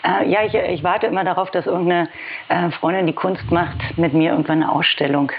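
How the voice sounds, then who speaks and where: A woman's voice: lowish voice, steady tone, a little fast, gravelly. A woman in her forties from Berlin, chatting informally as a podcast guest and telling her own story in a self-deprecating way. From Berlin, Germany. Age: 40s. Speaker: podcast guest, communications professional. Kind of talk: conversational autobiographical storytelling in an interview. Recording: dull lo-fi audio with faint noise.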